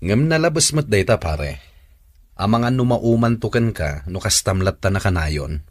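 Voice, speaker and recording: Man acting an earnest, animated young friend. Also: on the low side, moderate pace, dry recording. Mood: admonishing. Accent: Filipino. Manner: earnest, reproving. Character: concerned young male friend, earnest and admonishing. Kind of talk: Philippine radio drama acting.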